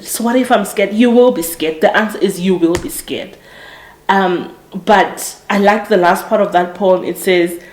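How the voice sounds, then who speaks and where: A woman's voice: mid-range voice, a little mellow, roomy sound. A woman in her late twenties hosting a podcast, talking earnestly and reflectively, often correcting herself mid-sentence. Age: 20s. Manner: earnest, reflective, with frequent restarts and self-corrections. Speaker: podcast host. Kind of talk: casual motivational monologue.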